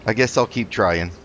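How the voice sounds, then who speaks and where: A man hosting a roleplay gaming video, talking in a measured, halting way as he plays and narrates. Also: on the low side, fairly bright tone, slight background noise. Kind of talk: roleplay let's play commentary.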